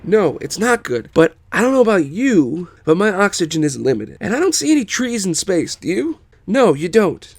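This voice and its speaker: A man's voice: high voice, sing-song, moderate pace, vocal fry, hardly any pauses, a little mellow, no echo, pitched above the performer's own voice, exaggerated voice. A man voicing an irritable, impatient, sarcastic engineer who rants condescendingly in an amateur script read. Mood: sarcastic, heated. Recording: noticeable background noise.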